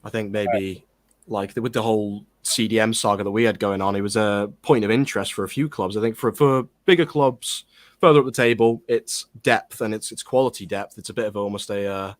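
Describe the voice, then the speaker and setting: A man with a lowish voice talks football in a casual panel, pausing and restarting as he works toward his point. The sound is dry and close, with no room around him. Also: fairly even intonation, dry recording. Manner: hesitant, restarts often while building a point. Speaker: podcast panelist.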